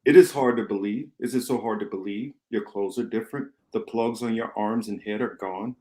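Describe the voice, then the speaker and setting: A man playing a calm, wise rebel ship captain in an amateur radio play, measured and explanatory, recorded in an echoey room. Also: on the low side, natural voice. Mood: explanatory, assured.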